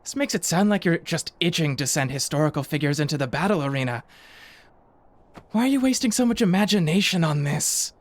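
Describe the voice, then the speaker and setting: A woman playing a sarcastic, exasperated male high school teacher in an anime fan dub, with a dry, scolding delivery. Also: animated, brisk, dry recording. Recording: music behind the voice.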